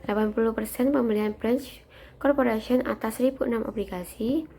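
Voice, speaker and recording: Young female student reading a presentation, mid-pitched with noticeable creak, some background noise. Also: warm tone. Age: young adult. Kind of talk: reading out worked accounting solutions.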